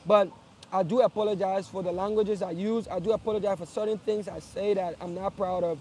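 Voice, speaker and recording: A man's voice: high voice, steady tone, a little fast, darkish tone, slight background noise. A young man from Liberia, speaking earnestly to his followers in a casual live address, often repeating and restarting phrases. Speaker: streamer.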